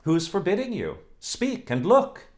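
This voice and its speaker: A man's voice: high voice, big pitch swings, moderate pace, a little mellow, pitched above the performer's own voice. A man voicing a vain, teasing young village beauty who admires herself and mocks her suitor. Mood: scornful. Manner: coquettish, haughty, admiring herself.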